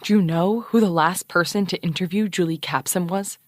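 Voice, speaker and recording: An actress playing a proud, combative radio journalist in an audio comedy-drama, haughty, pedantic and exasperated with precise wording. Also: female voice, on the low side, moderate pace, no room sound, studio quality, lightly acted. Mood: intense, accusing. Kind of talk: animated audio fiction comedy-drama acting.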